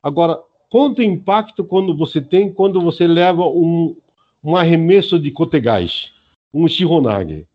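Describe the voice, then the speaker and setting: A man's voice: on the high side, animated, normal speed, dull audio. A Brazilian man in his early seventies explaining things informally in an interview, in a didactic way with frequent checking tags. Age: early 70s. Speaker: senior Aikido instructor, interview guest.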